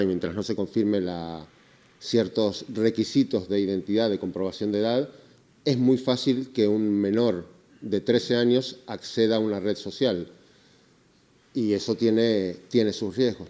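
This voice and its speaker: A man moderating a panel, giving measured spoken remarks. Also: lowish voice, dark tone.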